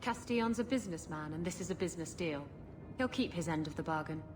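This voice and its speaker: A woman's voice: lowish voice, animated, quick pace, close to an everyday voice. Actress as brash female pirate rogue, noisy backdrop. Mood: confident bargaining. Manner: sassy, cheeky. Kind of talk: video game voice acting.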